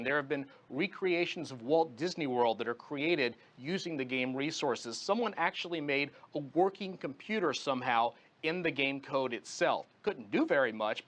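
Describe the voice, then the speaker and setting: A man's voice: fairly high pitch, a bit bright. A man speaking as a guest commentator in a live news interview, explaining his analysis point by point.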